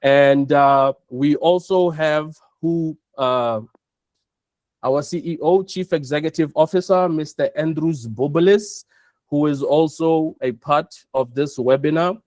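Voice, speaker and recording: A South African man presenting an online webinar, speaking in a measured way and repeating his points for emphasis. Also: fairly high pitch, darkish tone, no echo. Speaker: webinar host, operations chief.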